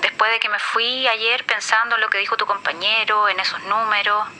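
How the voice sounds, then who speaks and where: A woman's voice: mid-range voice, fairly even intonation, brisk, noisy background, lightly acted. A woman playing a grieving, hesitant, reflective person leaving a grateful voice message in an audio drama. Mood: sad, reflective.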